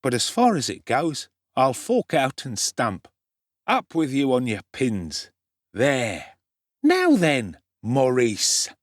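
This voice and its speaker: A man's voice: medium pitch, huge pitch swings, slow pace, dry recording, clean studio sound, pitched above the performer's own voice, exaggerated voice. A man voicing a cocky, streetwise teenage London boy with a Cockney accent and slangy swagger in an audiobook. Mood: breezy, swaggering. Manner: swaggering, slangy, self-assured. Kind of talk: dramatic audiobook character acting.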